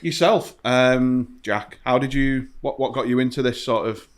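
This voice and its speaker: A man from St Helens, hosting a casual comedy podcast and laughing often. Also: mid-range voice. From St Helens, England. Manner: jokey, apologetic, quick to laugh. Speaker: podcast host. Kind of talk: casual chat.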